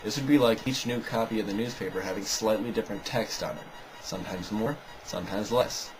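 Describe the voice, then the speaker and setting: A man's voice: lowish voice, brisk, music in the background. A man giving a scripted, argumentative rebuttal commentary in a sarcastic, dismissive way. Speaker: video narrator rebutting another video.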